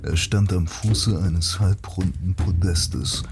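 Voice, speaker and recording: Deep, slow male narrator. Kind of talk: scripted fantasy audio drama narration. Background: loud music.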